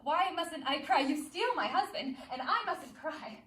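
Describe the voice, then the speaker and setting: A woman's voice: high-pitched, lively intonation, measured pace, a bit bright. A woman playing a jealous, pleading young wife in a stage play, tearful and bitterly self-deprecating. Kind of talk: one-act stage play acting. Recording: echoey room from far off, noisy.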